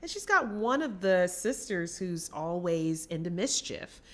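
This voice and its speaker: A woman's voice: on the low side, very animated, a little slow, a bit bright. A woman co-hosting a film podcast, giving opinionated, emphatic takes in casual conversation.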